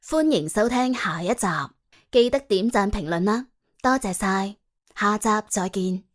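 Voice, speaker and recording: A woman narrates a comic crime story in an expressive, conversational storytelling style. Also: mid-range voice, a little slow. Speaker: audiobook narrator and host.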